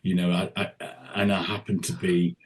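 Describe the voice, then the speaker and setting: Low-voiced man chatting in halting restarts. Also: little pitch movement. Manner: rambling, halting, many restarts and fillers. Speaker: tribute singer and podcast guest. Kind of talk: casual interview chat.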